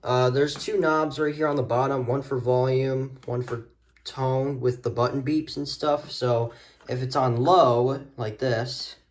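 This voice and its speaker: A man's voice: medium pitch, fairly even intonation, unhurried, a little hiss or hum. Hesitant man giving a casual show-and-tell. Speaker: video host showing a radio collection. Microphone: phone camera mic, handheld.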